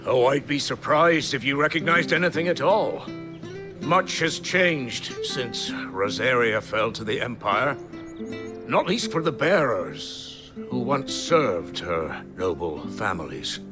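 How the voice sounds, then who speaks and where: Animated, deferential village mayor. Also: male voice, mid-range voice, slow pace, music in the background. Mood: somber, recounting. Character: earnest deferential older male village mayor, fantasy drama. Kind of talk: dramatic fantasy game acting.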